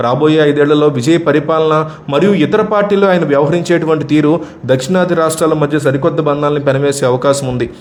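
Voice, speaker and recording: A man's voice: fairly high pitch, fast talker, a little mellow. A man from or reads a scripted news commentary as a voice-over narrator.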